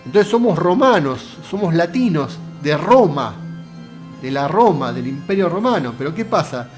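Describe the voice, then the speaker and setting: A man's voice: a little high, big pitch swings, normal speed, soft background music. An Argentine man giving a casual philosophy lecture, talking straight to the viewer with rhetorical questions. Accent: Argentine Spanish. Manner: conversational, addresses viewer directly with rhetorical questions.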